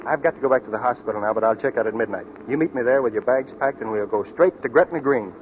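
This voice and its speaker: A muffled, faintly noisy old radio recording carries a man acting a young doctor in love. He talks very quickly, with a brisk, earnest manner in 1940s drama style. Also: medium pitch, lightly acted. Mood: brisk, intent.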